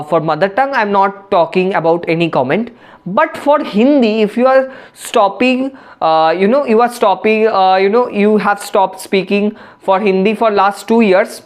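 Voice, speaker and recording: A woman's voice: mid-range voice, moderate pace. A woman with an Indian English accent, a native Bengali speaker, giving a spoken English lesson and explaining with many restarts and fillers. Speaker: English teacher.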